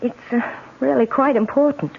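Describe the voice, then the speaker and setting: A woman's voice: sits high, fairly even intonation, normal speed, gravelly, husky, heavily acted. A woman playing an anxious, haunted young wife who speaks hesitantly in a 1970s radio drama. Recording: tinny, hissy 1970s radio broadcast.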